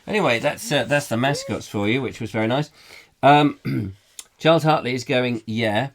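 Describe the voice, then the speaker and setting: A man hosting a comic live chat show, playful and silly, reading out viewer comments in a croaky voice. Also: mid-range voice, normal speed. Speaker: host.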